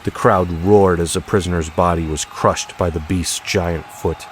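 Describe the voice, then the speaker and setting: A man's voice: low-pitched, steady tone, unhurried, slight background noise. A man giving a dramatic, scripted audiobook narration of a story, with music behind him.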